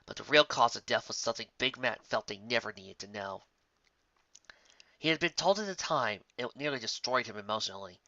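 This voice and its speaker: A man reading fan fiction aloud as an expressive scripted story narrator. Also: on the low side, dry recording, lo-fi sound.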